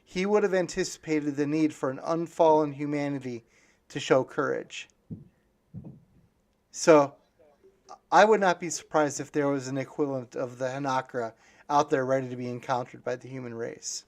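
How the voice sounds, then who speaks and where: A man hosting a casual conversational interview, musing aloud and speaking haltingly in fragments. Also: medium pitch, fairly even intonation. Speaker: podcast host.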